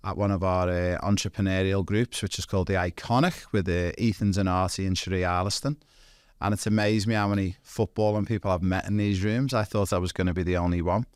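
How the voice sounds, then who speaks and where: A man's voice: low voice, fairly even intonation, brisk, smooth, no room sound, studio quality. A man hosting a podcast interview, chatty and enthusiastic, introducing his guest and asking questions. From Europe. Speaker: podcast host.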